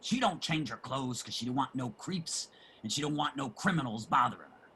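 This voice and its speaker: A man playing a streetwise homeless man in a play reading, generous and opinionated, with salty, emphatic street talk and a husky voice. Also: medium pitch, expressive, a little fast, pushed and bright, exaggerated voice. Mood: scolding, gossipy. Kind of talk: dramatic table reading of a stage play.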